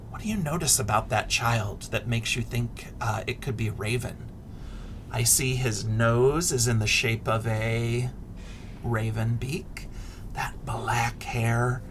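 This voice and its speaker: A middle-aged man reading a picture book aloud expressively to children, deliberate and dramatic, often dropping into a hush. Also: medium pitch, background noise. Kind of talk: expressive children's read-aloud with asides and questions.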